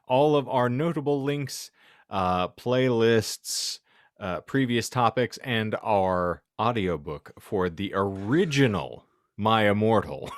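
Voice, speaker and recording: A man's voice: on the low side, dry recording, clean studio sound. A man hosting a podcast, delivering casual show patter with playful, mock-formal wording. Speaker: podcast host. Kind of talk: casual, scripted-sounding show sign-off patter.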